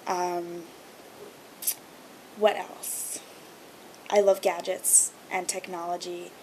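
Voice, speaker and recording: A woman's voice: on the low side, a little mellow, slight background noise, low-quality recording. A young woman whose first language is Hebrew, chatting to camera in a gushing, filler-heavy vlog. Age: young adult. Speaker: vlogger. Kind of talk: casual chat to camera.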